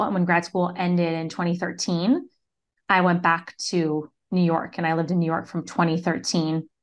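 A woman from the US East Coast, a guest on a podcast, telling her story casually with lots of "like". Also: fairly low pitch. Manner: casual storytelling, many "like" fillers. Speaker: podcast guest, business mentor. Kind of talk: conversational interview.

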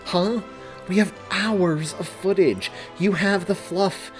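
A man acts out a brawny, blunt young boyfriend in an audiobook story, exasperated and grumbling. He speaks emphatically, pitched above the narrator's usual voice, with music behind. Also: slow. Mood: exasperated, demanding. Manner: emphatic, incredulous. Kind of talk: audiobook character dialogue.

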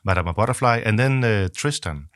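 A man's voice: fairly low pitch, expressive, fairly quick, a crowd in the background. A man hosting a podcast, asking long, rambling questions with many fillers. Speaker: podcast host. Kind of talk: interview questions.

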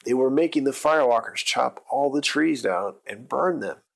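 A man's voice: medium pitch, expressive, normal speed, creaky. A man narrating an improvised bedtime story for children, with simple wording and emphatic repetition.

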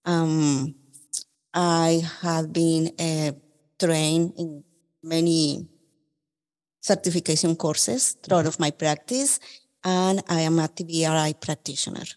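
A woman from Colombia with a Colombian Spanish accent, explaining carefully and at a measured pace as an occupational therapist guest on a podcast. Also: low-pitched, fairly even intonation, darkish tone, in a large hall.

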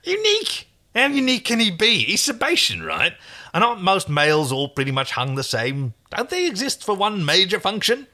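A man's voice: high voice, wildly swooping pitch, moderate pace, bright, forceful tone, pitched above the performer's own voice, full character voice. A man voicing a brash, lewd alien saleswoman who haggles pushily and flirts with customers. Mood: curious, teasing. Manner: flirty, pushy, calls people honey.

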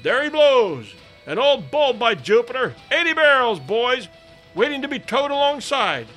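A man's voice: extremely high pitch, sing-song, normal speed, fairly bright tone, faint music behind, voice pushed up, full character voice. A man voicing an eager whaleboat officer who urges on his rowers in exclamatory bursts, read as a storybook. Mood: exhilarated urging. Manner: exclamatory, urging, breathless short bursts. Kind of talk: dramatised storybook reading.

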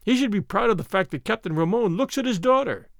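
A man's voice: high voice, lively intonation, fast, gravelly, dry recording, studio quality, pitched above the performer's own voice. A man voicing an arrogant, pushy army captain in an audiobook, suave and menacing, with a commanding, sneering manner. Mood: insistent, sneering.

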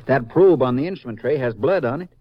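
A man's voice: mid-range voice, lively intonation, quick pace, no room sound, close to an everyday voice. Folksy Southern sheriff in old radio western, male actor. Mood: earnest, puzzled. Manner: hesitant, plainspoken, drawling rural phrasing. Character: folksy, cooperative small-town Texas sheriff, a man. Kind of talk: 1950s radio acting. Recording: muffled, noisy 1950s radio recording.

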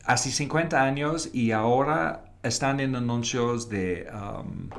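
A middle-aged man, a native English speaker with a slightly British accent, speaks slowly and hesitantly as a podcast guest, slipping in English fillers. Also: medium pitch, a bit bright. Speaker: podcast guest, advertising professor. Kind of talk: casual interview conversation.

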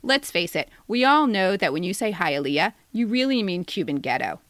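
A woman's voice: medium pitch, big pitch swings, normal speed, a bit bright, no echo. A woman in her fifties reading a personal satirical letter aloud in a wry, mock-polite way, as a podcast co-host. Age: 50s.

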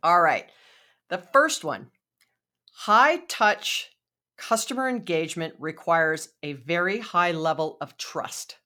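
A woman's voice: fairly low pitch, animated, takes it slowly, bright, forceful tone. A woman hosting her podcast, chatty and enthusiastic, with many fillers as she asks questions. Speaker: podcast host. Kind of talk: podcast interview.

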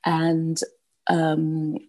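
Gravelly-voiced older English woman telling her story. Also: low-pitched, completely flat delivery, a little mellow. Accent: English, London middle class. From Nigeria. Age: 60s. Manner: rambling, halting storyteller with many restarts and fillers. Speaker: guest. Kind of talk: casual interview, personal storytelling.

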